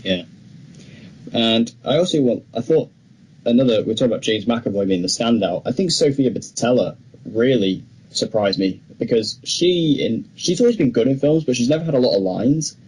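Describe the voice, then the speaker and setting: A man's voice: mid-range voice, expressive, normal speed, a little mellow. A young British man co-hosting a film podcast, chatting casually as he reviews movies. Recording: clearly noisy background ambience.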